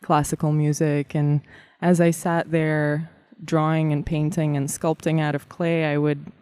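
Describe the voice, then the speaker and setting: Woman, 30s, from Kazakhstan, interview guest, animated storyteller, dry recording. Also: deep voice, measured pace, clean tone, no room sound. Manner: warm, animated storyteller with frequent laughs and fillers. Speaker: guest artist.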